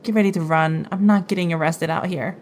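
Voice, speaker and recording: A woman's voice: lowish voice, steady tone, fairly quick, darkish tone, slight background noise, close to an everyday voice. A woman playing a skeptical, exasperated young superhero in an audio drama, dry and nervy, as if always bickering. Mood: anxious, doubtful.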